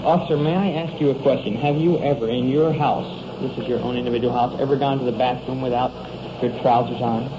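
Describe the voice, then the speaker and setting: A man's voice: mid-range voice, steady tone, fairly quick. Indignant, formal American man, muffled noisy recording. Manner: formal, indignant, pedantic wording. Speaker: arrested suspect being booked. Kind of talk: argumentative exchange at police booking desk. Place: police station booking desk. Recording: muffled, noisy 1950s field radio recording.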